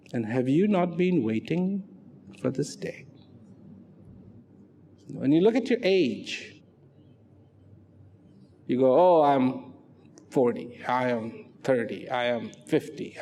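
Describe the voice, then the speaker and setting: A man giving a reflective public talk, slow and emphatic, swinging from loud declarations to hushed, almost whispered lines. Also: medium pitch, expressive, a little hiss or hum. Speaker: speaker. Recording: long hall reverb with faint music.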